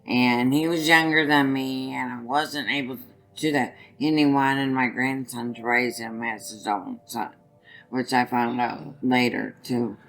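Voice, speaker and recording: An older woman with a raspy voice, telling her own story as an interview guest, halting and restarting as she goes. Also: very deep voice, fairly even intonation, fairly bright tone, soft background music. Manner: halting, restarts often while recounting.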